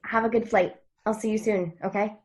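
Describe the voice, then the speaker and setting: A woman's voice: mid-range voice, fairly even intonation, fairly quick, a little mellow, husky, close to an everyday voice. A woman acting a breezy, sassy young woman in a table read of a television drama, with casual teasing delivery. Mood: light, teasing. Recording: video call table read.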